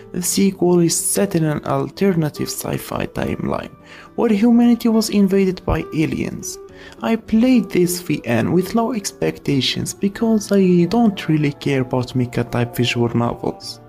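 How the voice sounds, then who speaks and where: This is a man narrating a scripted video essay in a gravelly voice, his pitch rising and falling a lot. He gives frank, opinionated reviews. Faint music plays underneath. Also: big pitch swings, a little slow, mellow.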